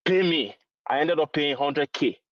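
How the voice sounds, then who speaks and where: A man's voice: on the high side, a little slow, dry recording. A man with a Nigerian accent giving casual commentary as a caller to a show, heard over a phone call. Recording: dull, dry phone-call sound.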